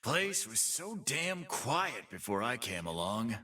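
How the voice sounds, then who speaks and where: A man's voice: mid-range voice, big pitch swings, slow pace, sharp tone, far from the mic, exaggerated voice. An actor voicing a cocky, brutish half-human fight-pit boss for a video game, with a swaggering, taunting, slangy tough-guy drawl. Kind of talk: video game character voice lines.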